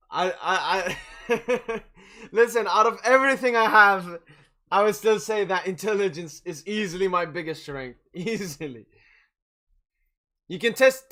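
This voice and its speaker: Young male football streamer, high bright voice, cocky and joking, casual chat delivery. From Sweden and Algeria. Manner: boastful, jokey, prone to chuckling. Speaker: football watch-along livestream host. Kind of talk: casual livestream chat, match commentary.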